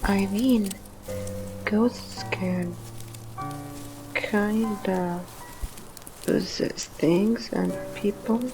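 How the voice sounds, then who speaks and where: A woman's voice: medium pitch, fairly even intonation, very slow, pauses often, over music. A woman voicing a shy, self-doubting ghost in an audio drama, hesitant and trailing off.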